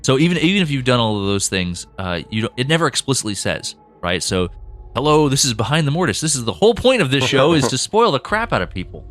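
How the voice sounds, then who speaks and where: A man's voice: medium pitch, very animated, a bit bright. A man co-hosting a casual behind-the-scenes podcast chat, talking loosely and laughing often. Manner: chatty, laughs often, acts out quick voices for characters. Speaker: podcast co-host and show creator.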